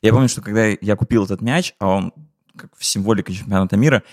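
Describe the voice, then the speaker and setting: A man's voice: on the low side, fast. A man in his twenties, a podcast co-host, casually telling a personal story. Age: 20s.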